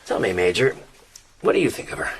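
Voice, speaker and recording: A man's voice: low voice, sing-song, fast talker, slightly rough, heavily acted. An actor playing a shrewd, plump old American man who talks in a folksy, drawling way, in a radio play. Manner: folksy drawling talk, blustering threats.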